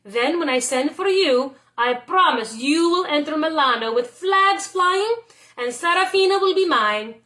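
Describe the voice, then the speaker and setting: A woman voicing an eager, confident, wealthy young suitor in a storybook, loud and declarative. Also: high-pitched, animated, measured pace, exaggerated voice. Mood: promising.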